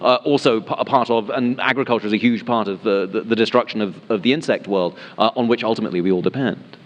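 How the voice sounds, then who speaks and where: A man from London, a panelist at a town hall who talks in a halting way with many fillers and restarts. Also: lowish voice, dull audio. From London, England. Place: town hall meeting room. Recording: reverberant hall.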